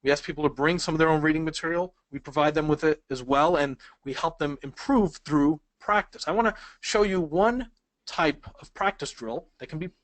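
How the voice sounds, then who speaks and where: A man's voice: fairly high pitch, normal speed, no echo. A man whose first language is Polish, teaching speed reading in a conversational webinar and asking the audience questions. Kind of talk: webinar lesson.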